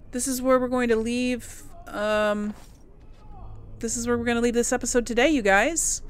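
A woman's voice: on the high side, clean tone. A woman hosting a game playthrough, chatting casually to viewers and musing aloud to herself. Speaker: host of a gaming playthrough series. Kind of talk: casual let's play commentary, chatting to viewers.

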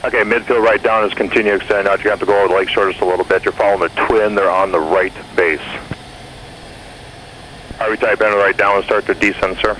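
A man's voice: fairly low pitch, fairly even intonation, quick pace, fairly bright tone, rough recording. A man working as an air traffic controller, giving clipped, courteous instructions over aviation radio. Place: airport tower radio, heard in a jet cockpit. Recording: aviation radio with faint noise.

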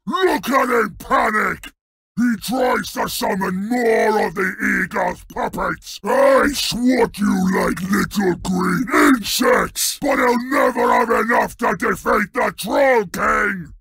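A huge, brutish troll king from a fantasy game, roars his boasts and threats. The voice is thick with gravel and swings wildly in pitch. He drags out his blunt, broken sentences very slowly, always sounding hungry for a fight or a meal. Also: sing-song, fairly bright tone, raised voice, exaggerated voice. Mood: boastful, menacing. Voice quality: gravelly, growling. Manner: bellowing in broken, blunt speech. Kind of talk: fantasy video game villain voice lines.

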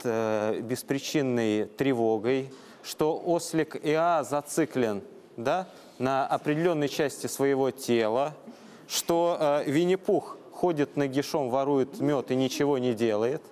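A man's voice: medium pitch, measured pace, a little mellow. A man in his thirties moderating a studio discussion as a talk show host, speaking into a handheld microphone. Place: TV studio with seated audience.

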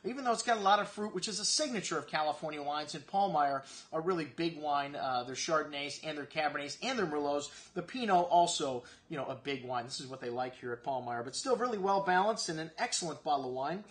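A man's voice: fairly high pitch, expressive, fast talker, few pauses, fairly bright tone. A man in his forties reviewing wines on camera in a wine shop, chatting casually with many fillers and running through tasting notes. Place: wine shop set with shelves, indoors.

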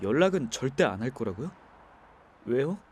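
A man playing a gentle young driver. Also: medium pitch, very animated, moderate pace, breathy edge. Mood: gentle, comforting. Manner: soft, gentle, comforting. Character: young man driving, kind and soft-spoken. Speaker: voice actor in an audio drama. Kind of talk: ASMR audio drama acting.

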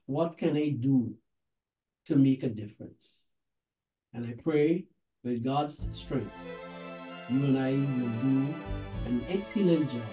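A middle-aged man with a Guyanese accent hosting a talk show in deliberate, halting phrases from an office. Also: mid-range voice, warm tone. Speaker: host, reverend. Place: book-lined office. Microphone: video call. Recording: roomy, echoey sound.

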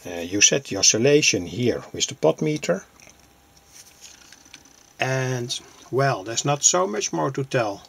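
A man with a husky, gravelly voice gives a hands-on technical explanation. He speaks very slowly, phrase by phrase, in a plain and halting way, like a hobbyist presenting his own work. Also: medium pitch, very animated. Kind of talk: hands-on circuit demonstration, explanatory. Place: small cluttered indoor electronics workbench.

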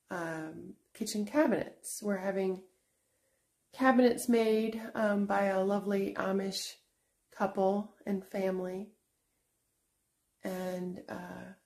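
A middle-aged woman hosting a casual vlog while showing her antique shop booth, talking in an unhurried, chatty way with fillers and restarts. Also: mid-range voice, warm tone. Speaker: antique shop owner and channel host.

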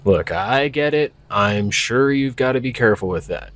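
An actor playing a curt, guarded and skeptical park ranger in audio drama dialogue. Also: male voice, medium pitch, animated, moderate pace, a bit bright, lightly acted.

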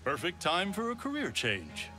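A man playing a self-preserving rogue turned reluctant hero in a video game, wry and full of dramatic pauses. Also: high voice, huge pitch swings, music in the background. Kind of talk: animated video game acting.

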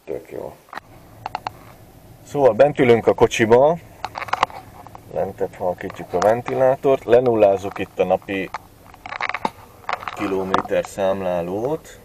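A man in his forties giving a relaxed, chatty gadget review, pausing often with filler sounds, recorded on a camera mic. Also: lowish voice, background noise. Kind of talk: casual product review vlog.

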